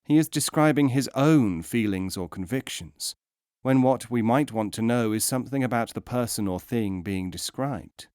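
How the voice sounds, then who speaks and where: A man narrates an audiobook of philosophical teaching tales in a medium-pitched voice. His delivery is measured and instructive, with emphasis placed on key words. The sound is dry, with hardly any room on it. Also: a little mellow, clean tone, no echo.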